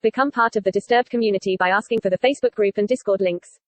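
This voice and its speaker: A woman reading the scripted outro of a podcast in a brisk manner. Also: mid-range voice, no echo. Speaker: announcer.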